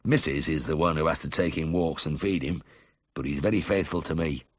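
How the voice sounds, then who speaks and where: A man voicing a bedridden, cheerful ex-miner with a husky South Yorkshire accent in a story reading. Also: a bass voice, fairly quick, no echo, clean studio sound. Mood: fond, chatty. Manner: plain-spoken dialect, warm and uncomplaining.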